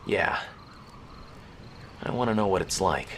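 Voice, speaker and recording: Casting: young Delta sergeant, deep voice, wry, casual, cocky, audio drama. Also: male voice, expressive, a little slow, fairly bright tone, slight background noise. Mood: casual, curious. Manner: casual, wisecracking.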